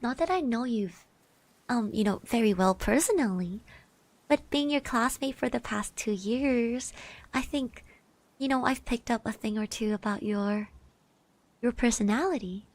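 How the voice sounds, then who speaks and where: A young woman voicing a shy, kind eighteen-year-old girl classmate in an ASMR roleplay, soft and gentle, hesitant and reassuring, close to the mic. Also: on the high side, measured pace, no room sound. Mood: gently encouraging. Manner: hesitant, bashful, stammering, reassuring. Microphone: close microphone.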